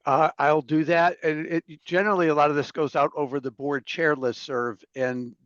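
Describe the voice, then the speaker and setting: A man's voice: fairly high pitch, steady tone, normal speed, a bit bright, no echo. A man on staff at an association, making an informal, easygoing announcement during an online meeting. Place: video call. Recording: dull, lo-fi video-call audio.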